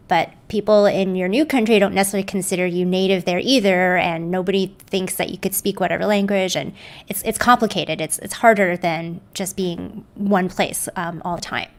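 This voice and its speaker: A woman hosting a live panel discussion, conversational and affirming, with frequent "um" and "mm-hmm". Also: medium pitch. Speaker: host.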